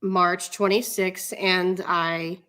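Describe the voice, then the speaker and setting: A woman playing a measured, skeptical judge in a dramatic reading of a court hearing, speaking deliberately with hesitant pauses. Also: lowish voice, flat delivery, bright, forceful tone, smooth. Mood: measured, interrupting.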